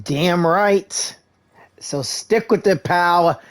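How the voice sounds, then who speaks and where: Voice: mid-range voice, drawn-out words, dry recording. A gamer giving casual, enthusiastic commentary and talking back to the game's characters. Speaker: gaming content creator. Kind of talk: casual reactive game commentary.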